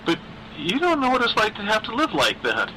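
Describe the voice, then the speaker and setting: A man voicing a lonely, obsessive teenage boy ghost who pleads in a halting, plaintive, insistent way in a horror story. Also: high-pitched, huge pitch swings, bright, forceful tone, slight background noise, pitched above the performer's own voice, heavily acted, rough recording. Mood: anguished.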